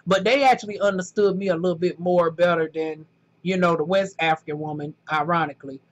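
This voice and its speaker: A man's voice: fairly high pitch, steady tone, a little fast. A man with a strong Southern US accent, chatting casually to camera in a rambling, storytelling way, tossing in "honey" and "y'all.". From southern United States. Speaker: vlogger.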